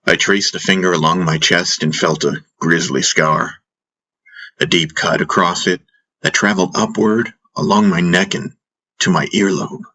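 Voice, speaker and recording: A man's voice: sits low, fairly even intonation, moderate pace, pushed and bright, poor audio. A man narrating a fantasy audiobook in the first person, in a solemn, dramatic manner.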